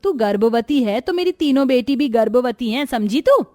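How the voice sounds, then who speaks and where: A woman's voice: fairly high pitch, expressive, normal speed, close to an everyday voice. A woman as a haughty, nagging mother-in-law. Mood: scolding. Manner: sharp, nagging, domineering. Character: haughty, scolding middle-aged Indian mother-in-law, doting on her daughters. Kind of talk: acted Hindi cartoon story dialogue.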